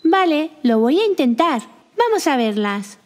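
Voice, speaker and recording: A woman's voice: high voice, very animated, unhurried, noisy background. A woman voicing a cheerful, kind little witch girl in a children's cartoon. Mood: eager. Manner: bright, exclamatory, childlike. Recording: loud music behind the voice.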